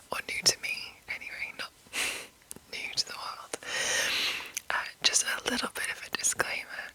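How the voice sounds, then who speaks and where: A woman host whispering in an unhurried, informal, rambling ASMR style as she gives an introduction. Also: pushed and bright, rough recording.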